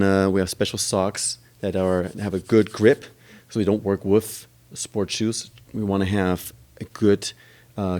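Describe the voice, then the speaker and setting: A man talks in a very low voice as a podcast guest. He explains how his gym works at length, pausing often with ums and uhs as he goes. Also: a little mellow. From Germany. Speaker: gym owner and trainer. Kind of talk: podcast interview answers.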